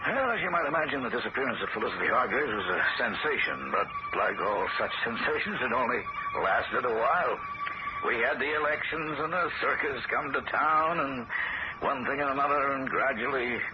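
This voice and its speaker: A man's voice: medium pitch, lively intonation, a little fast, lightly acted. An actor as a stammering, earnest young man. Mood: somber. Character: kindly, guilt-ridden young man narrating his story. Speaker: narrator and lead. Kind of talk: 1970s radio drama acting. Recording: dull, very hissy old radio broadcast.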